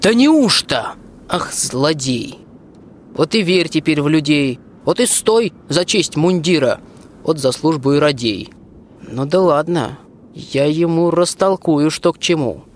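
A man's voice: a little high, lively intonation, unhurried, a little hiss or hum. A young man playing a bold, hearty soldier husband in a rhymed radio play. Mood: indignant. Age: young adult. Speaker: school student actor. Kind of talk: theatrical verse radio play.

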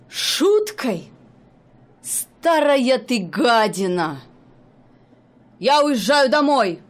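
A woman playing a furious jealous wife. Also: fairly high pitch. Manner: indignant, scolding, theatrical outbursts. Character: furious jealous wife accusing her husband. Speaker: radio drama actress. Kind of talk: radio play acting.

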